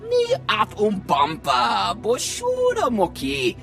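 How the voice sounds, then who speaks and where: A man's voice: sits high, wildly swooping pitch, takes it slowly, vocal fry, fairly bright tone, soft background music, exaggerated voice. An actor playing an agitated male alien guide in a video game, talking in exotic clipped syllables. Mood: insistent. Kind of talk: video game cutscene acting.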